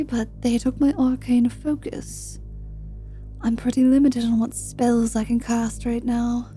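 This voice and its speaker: Female fantasy adventuress played by a woman, ASMR roleplay: higher-pitched, soft-toned, feisty, foul-mouthed and sardonic. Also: natural voice. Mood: wary, teasing.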